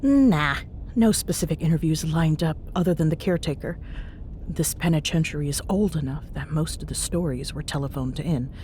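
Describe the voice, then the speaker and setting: Low-voiced teasing young woman, acted, over rumble. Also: normal speed, darkish tone, lightly acted. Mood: relaxed, planning. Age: young adult. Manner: sarcastic, bantering, casual with sighs and murmurs. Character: teasing tsundere young woman ghost hunter, bossy but fond. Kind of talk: ASMR audio roleplay, intimate one-sided chat. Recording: clear background noise with low rumble.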